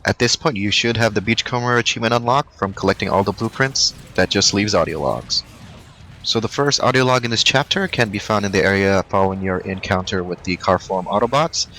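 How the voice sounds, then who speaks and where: Fast-talking man giving game walkthrough directions. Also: on the low side, sharp tone, slight background noise, poor audio. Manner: brisk, matter-of-fact instructions. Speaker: game guide narrator. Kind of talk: walkthrough voice-over.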